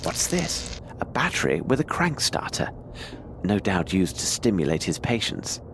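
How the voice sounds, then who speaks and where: A fairly low-voiced, lively gentleman detective from a video game. He sounds cerebral and self-assured, musing aloud in formal, articulate sentences over faint noise. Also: measured pace, exaggerated voice. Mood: inquisitive. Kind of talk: video game dramatic narration to himself.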